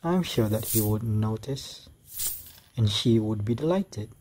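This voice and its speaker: A man's voice: lowish voice, animated, measured pace, a little mellow, slight background noise. A man playing a mischievous but polite young boy in an amateur radio drama. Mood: scheming.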